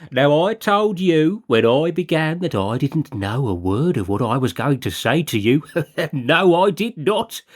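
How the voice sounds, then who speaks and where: A man putting on a high, boastful old chapel preacher. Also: darkish tone, heavily acted. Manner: rambling, pleased with himself, chuckling. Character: older male lay preacher, boastful and self-satisfied. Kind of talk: audiobook character voice.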